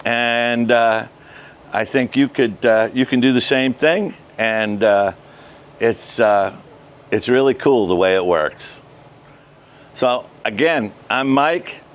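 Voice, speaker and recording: A man's voice: mid-range voice, steady tone, takes it slowly, clean tone. An older man explaining things casually and step by step to camera, outdoors on a lapel mic. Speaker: ham radio vlog host. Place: outdoors in a suburban backyard by patio and shed. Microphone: wireless lapel mic clipped to shirt.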